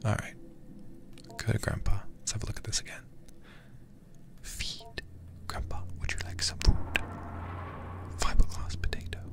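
A man doing hushed ASMR game commentary as a livestreamer, murmuring softly and often whispering close to the microphone. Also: moderate pace, pauses often. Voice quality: soft, breathy, often whispered. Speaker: livestreamer playing a horror game.

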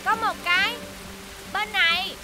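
A woman's voice: very high voice, steady tone, a little slow, over music. A woman voicing an earnest, alert young rescuer in a children's cartoon, calling out with urgency. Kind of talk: animated children's series dub.

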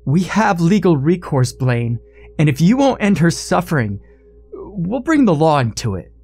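A man voicing a stern, demanding older mother-in-law in a story, forceful and threatening. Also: fairly high pitch, sing-song, measured pace, breathy edge, soft background music, voice pushed up, heavily acted.

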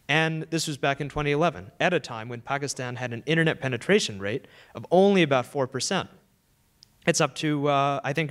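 A young man seated on an auditorium stage, speaking into a handheld microphone in a fluent, measured conference keynote. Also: medium pitch, a bit bright. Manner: fluent, measured, gestures while talking.

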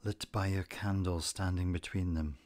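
A man narrating a scripted guided meditation, giving gentle instructions in a calm, deliberate manner. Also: low-pitched, dry recording.

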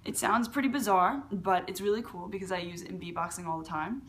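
A young woman with a fairly low voice for a woman, hosting a casual beatbox tutorial from her living room and talking to a webcam, with lots of fillers and restarts. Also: fast. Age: young adult. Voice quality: lower voice for a woman. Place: large living room at home.

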